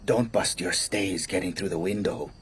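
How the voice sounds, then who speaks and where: Actor as menacing gangster, faint background noise. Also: male voice, low-pitched, normal speed, lightly acted. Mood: brusque, contemptuous. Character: menacing male American gangster assassin, curt and crude. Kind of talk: radio drama acting.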